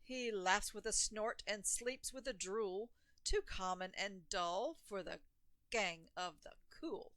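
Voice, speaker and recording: A woman's voice: medium pitch, expressive, slow pace, a bit bright, no room sound. A woman reading a rhyming children's picture book aloud in a theatrical, emphatic storytelling manner.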